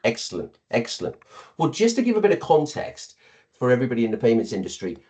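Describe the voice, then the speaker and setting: A man hosts an interview podcast and welcomes his guest with eager, somewhat wordy phrasing. His voice sits on the low side, and he builds his intros with enthusiasm. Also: warm tone. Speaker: podcast host.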